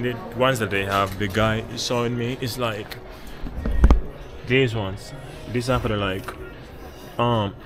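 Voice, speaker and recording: A man vlogging as he walks through a shopping mall, rambling casually to himself and to people he passes. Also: mid-range voice. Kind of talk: casual walking commentary. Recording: loud music in a busy mall.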